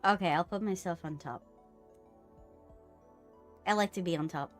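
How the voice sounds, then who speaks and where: A woman's voice: fairly low pitch, moderate pace, faint music behind. A woman chatting casually on a livestream, with a playful, teasing manner. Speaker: streamer hosting a co-op visual novel playthrough.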